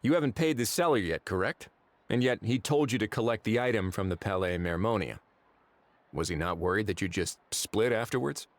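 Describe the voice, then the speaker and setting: Calm game official, lowish voice, dry and polite, quietly in charge. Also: male voice. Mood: probing. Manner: measured, dryly polite, quietly authoritative. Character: composed, politely probing male government official. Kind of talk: voice-acted game dialogue.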